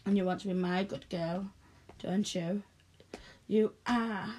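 A woman speaking softly and coaxingly in an intimate audio roleplay, close to the mic, often dropping to a whisper. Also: medium pitch, steady tone, a little hiss or hum. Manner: soft, coaxing, slow and breathy with frequent whispers.

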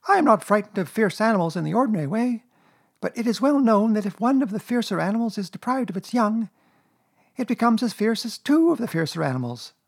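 A man's voice: sits high, lively intonation, brisk, vocal fry, pitched above the performer's own voice, exaggerated voice. A man voicing a very small, timid piglet in a children's storybook, earnest, wordy and nervous. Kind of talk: children's storybook character reading.

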